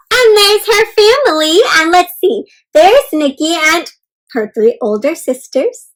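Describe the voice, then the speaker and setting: A woman reading a children's storybook aloud interactively, expressive and encouraging like a teacher, often turning to ask her young listeners questions. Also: high voice, poor audio. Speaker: children's read-aloud host.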